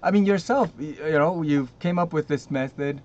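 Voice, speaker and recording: A man's voice: on the high side, moderate pace, darkish tone. A man hosting an interview, talking casually with many fillers and restarts. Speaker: interview host. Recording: dull lo-fi line with faint noise.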